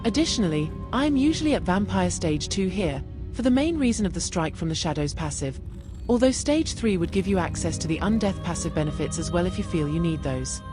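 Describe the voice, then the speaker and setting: Lively low-pitched woman narrating a game guide. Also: brisk. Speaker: gaming video creator and narrator. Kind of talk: game build guide voice-over. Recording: music behind the voice. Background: music.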